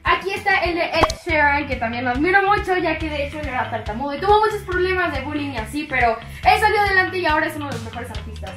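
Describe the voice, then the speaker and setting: A woman's voice: sits high, animated, fast talker, few pauses, a bit bright, far from the mic. A young woman giving a chatty, laughing house tour vlog to her handheld camera, walking through a large house. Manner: bubbly, chatty, often laughing. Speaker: vlogger. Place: large house indoors, rooms with hard surfaces. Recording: echoey rooms with music underneath.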